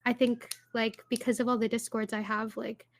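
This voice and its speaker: Chatty young woman, slightly high voice. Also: flat delivery, moderate pace. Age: 20s. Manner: chatty, many "like" fillers, laughs often. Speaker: podcast co-host. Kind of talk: casual chat.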